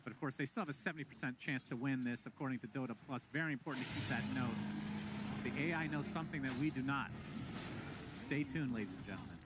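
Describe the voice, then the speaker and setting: A man casting a live esports match, calling the play quickly and with excitement, and laughing often. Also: medium pitch, heavy background noise.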